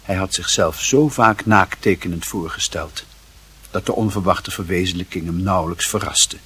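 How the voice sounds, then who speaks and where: A man's voice: low voice, a bit bright, breathy edge. A man narrating a radio play as a scripted story, with wry, theatrical emphasis.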